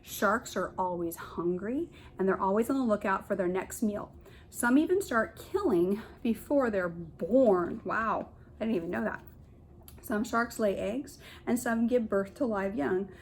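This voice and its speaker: A woman kindergarten teacher reads a picture book aloud to young children, pausing to explain and point things out in simple words. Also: mid-range voice, lively intonation, a little hiss or hum.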